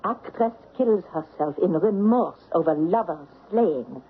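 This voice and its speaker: An actress playing a shrewd, bold French stage star in an old radio mystery, with a theatrical, coolly taunting manner. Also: female voice, fairly low pitch, animated, unhurried. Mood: taunting, assured. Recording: tinny, noisy old radio recording.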